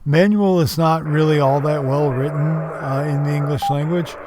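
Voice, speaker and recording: A man's voice: a little high, fairly even intonation, normal speed, noisy background. A man giving an informal, explanatory product review in a casual narrating style.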